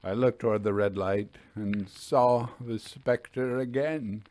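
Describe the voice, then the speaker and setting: A man voicing a haunted railway signalman who recounts a spectre in a grave, halting way, as in a ghost story. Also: fairly low pitch, steady tone, a little mellow. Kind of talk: dramatic reading of a classic ghost story.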